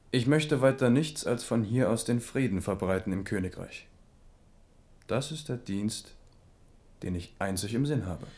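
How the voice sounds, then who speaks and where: Young king, earnest and polite, lowish voice. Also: darkish tone. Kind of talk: 1970s radio drama acting.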